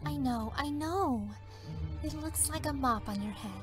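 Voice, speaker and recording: A woman's voice: high-pitched, unhurried, music in the background. A woman playing a vain, fashion-minded teenage girl in a fan dub, breezy and dramatic. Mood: chirpy. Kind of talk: amateur fandub acting.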